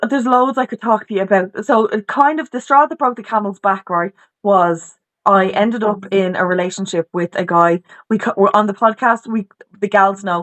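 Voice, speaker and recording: A woman's voice: mid-range voice, a bit bright. An Irish woman from Dublin speaks as a podcast guest, telling her story in a loose, chatty way. She restarts her sentences often and leans on "you know" and "like." She sounds as if she is on a video call from home. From Ireland, Dublin. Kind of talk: candid personal story in conversation.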